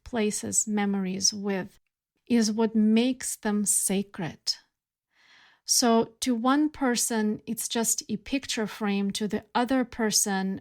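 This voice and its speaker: A woman hosting a spiritual podcast, speaking in a gentle, contemplative monologue with soft pauses. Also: medium pitch. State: recovering from losing her voice. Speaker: podcast host.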